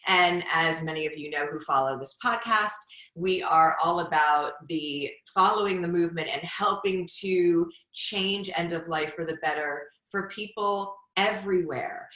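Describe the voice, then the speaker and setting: A woman's voice: lowish voice, pushed and bright. A middle-aged woman hosting a podcast interview, speaking in an effusive, encouraging way. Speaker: podcast host, former hospice nurse. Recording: roomy echo.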